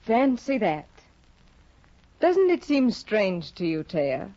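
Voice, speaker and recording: An actress playing Hedda, a bored, cold aristocratic young wife, speaking slowly and mockingly in a 1950 radio drama. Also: female voice, mid-range voice, big pitch swings, dark tone, no echo. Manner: slow, icy, mocking. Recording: dull lo-fi 1950 radio broadcast.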